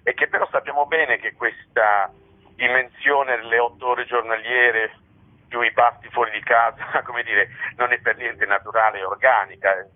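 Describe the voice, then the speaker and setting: A man's voice: medium pitch, steady tone, normal speed. A man giving reflective, explanatory answers in a spontaneous interview, often checking in with a short tag question. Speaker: playwright and theatre director being interviewed. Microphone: telephone. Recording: muffled narrow-band telephone line.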